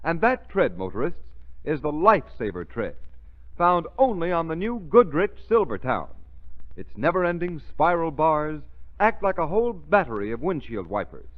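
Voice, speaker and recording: Animated, high-pitched American radio announcer. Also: male voice. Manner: emphatic, dramatic, deliberate phrasing. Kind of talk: 1930s radio announcing, scripted sales pitch and narration. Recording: tinny, narrow-band 1930s radio recording.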